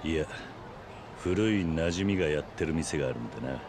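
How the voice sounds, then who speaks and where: An actor playing a stoic, commanding middle-aged former yakuza in a video game, speaking tersely and gravely. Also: male voice, a bass voice, lively intonation, moderate pace, noisy background. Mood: calm. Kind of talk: acted video game cutscene dialogue.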